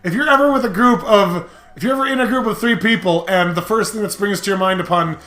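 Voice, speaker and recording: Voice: medium pitch, quick pace, fairly bright tone. A gamer giving casual, comedic let's play commentary with sarcastic, hyperbolic, mock-outraged banter. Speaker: let's play host reading a visual novel aloud. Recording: roomy echo, game music behind.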